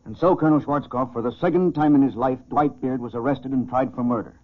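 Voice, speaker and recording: A man's voice: mid-range voice, quick pace, lightly acted. A man as a sober police chief, giving scripted dramatic narration of a crime case on 1940s radio. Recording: muffled, boxy 1940s radio recording.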